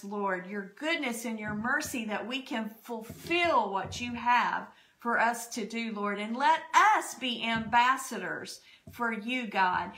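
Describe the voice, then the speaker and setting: Woman pastor, middle-aged, slow and bright, fervent prayer at a home desk mic. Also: fairly high pitch. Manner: fervent, prayerful, citing scripture verses. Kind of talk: spoken prayer and testimony on a church broadcast. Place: home dining room. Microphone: desk podcast microphone.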